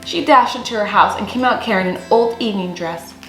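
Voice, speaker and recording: A woman's voice: mid-range voice, brisk, a bit bright. Roomy-sounding young woman reading a storybook. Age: young adult. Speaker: storyteller reading a children's picture book aloud. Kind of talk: expressive scripted story read-aloud. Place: small room indoors. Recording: roomy echo, faint music underneath.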